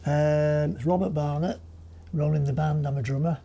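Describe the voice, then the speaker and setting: A man's voice: on the high side, steady tone, normal speed, gravelly, warm tone. A man, a band's drummer, speaking casually in a documentary interview. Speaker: band drummer. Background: music bed.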